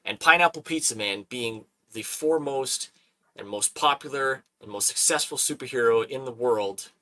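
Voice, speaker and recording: A man hosts a loose, casual chat with a bright, crisp voice. He talks in animated bursts, laughs often and repeats his words as he goes. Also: medium pitch, normal speed, low-quality recording. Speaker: host.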